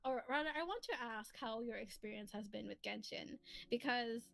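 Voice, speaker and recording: A woman's voice: on the high side, lively intonation, moderate pace, gravelly. A woman hosting a casual livestream, chatting in a giggly, rambling way and repeating the starts of her phrases. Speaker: streamer and host, comic dub maker. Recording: soft music playing behind the voice. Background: soft lofi music.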